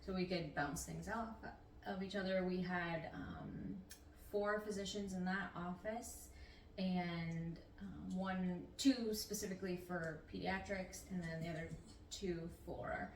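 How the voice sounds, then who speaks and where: A woman's voice: fairly low pitch, steady tone, measured pace. A middle-aged woman, a nurse practitioner, answering interview questions in an easygoing, talkative, slightly meandering way. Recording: roomy, distant mic, faint noise.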